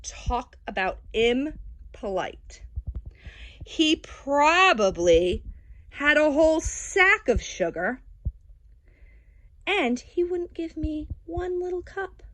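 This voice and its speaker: A woman's voice: sits high, exaggerated voice. A woman voicing a self-justifying wolf who tells his side of a children's storybook with folksy, aggrieved, dramatic delivery. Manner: dramatic, drawn-out storytelling with sudden swoops and hushes. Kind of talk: children's storybook read-aloud.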